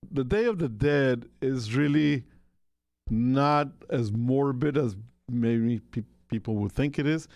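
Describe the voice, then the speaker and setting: A man from Costa Rica hosts a relaxed radio chat, his voice carrying a gravelly rasp. He rambles along with frequent fillers and restarts. The sound is dry and close, with no room around it. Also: medium pitch, no echo. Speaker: radio show host. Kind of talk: casual radio talk.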